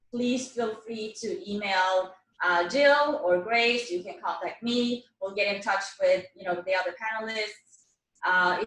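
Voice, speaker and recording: A woman's voice: medium pitch, fairly bright tone, reverberant, recorded from a distance, poor audio. A woman with a Swedish accent, giving grateful closing remarks on a video call, with some fillers as she talks. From Sweden.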